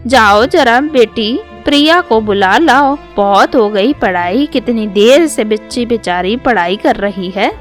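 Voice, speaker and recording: Woman acting middle-aged mother-in-law, motherly, bossy, quick to irritation, storybook. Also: fairly high pitch, lively intonation, faint music behind. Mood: fond, bossy. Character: middle-aged mother-in-law, fond but easily riled.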